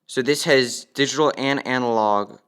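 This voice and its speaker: A man's voice: medium pitch, normal speed, a bit bright, clean tone. A young man giving a casual, matter-of-fact walkthrough as he demonstrates a product.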